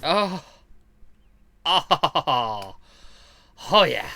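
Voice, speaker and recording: A man's voice: a little high, very animated, very slow, sharp tone. A man chatting casually as he streams a game, rambling and joking with plenty of swearing. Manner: crude, jokey, rambling, sweary; acts out quoted remarks. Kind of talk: casual gaming commentary and chat. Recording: clear background noise.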